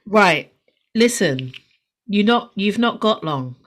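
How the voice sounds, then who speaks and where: A woman's voice: medium pitch, lively intonation, slow. A woman in her forties co-hosting a podcast, chatting casually, laughing often and swearing freely. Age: 40s. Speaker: podcast co-host. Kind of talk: casual chat.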